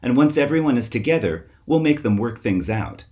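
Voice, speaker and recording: A man's voice: mid-range voice, animated, a little fast, mellow, voice pushed up, natural voice. A man voicing an eager, earnest schoolboy in a children's storybook read-aloud. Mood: determined. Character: eager schoolboy Kip, earnest young schemer.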